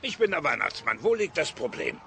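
An actor playing a brusque, harried department-store Santa Claus in a crime radio drama. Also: male voice, on the high side, huge pitch swings, fairly quick, vocal fry, pushed and bright, slight background noise, heavily acted. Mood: defensive. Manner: brusque, irritable.

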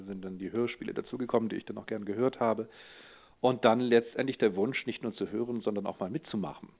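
Low-voiced man on a phone-like line. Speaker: interviewed voice actor. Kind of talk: conversational interview answers, anecdotal.

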